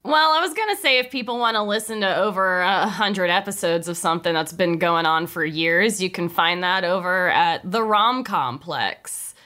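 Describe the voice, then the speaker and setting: A woman's voice: on the low side, animated, normal speed, few pauses, pushed and bright. A woman co-hosting a podcast in casual, informal banter with a joking manner. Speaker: podcast co-host.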